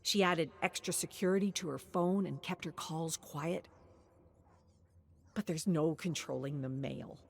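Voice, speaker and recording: A woman reading a scripted story in a measured, expressive, dramatic way, sometimes dropping to a hush. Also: deep voice, creaky, slight background noise. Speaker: narrator of game lore stories.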